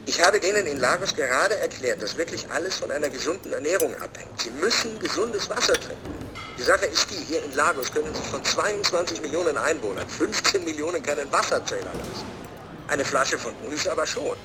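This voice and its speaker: Man acting cool profit-minded CEO, slightly high voice, persuasive, matter-of-fact, radio play, audible ambient noise. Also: animated, fairly quick, lightly acted. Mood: confident, lecturing. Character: self-assured male water company CEO, coolly profit-minded. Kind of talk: radio play acted as a video-call interview. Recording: clear background ambience.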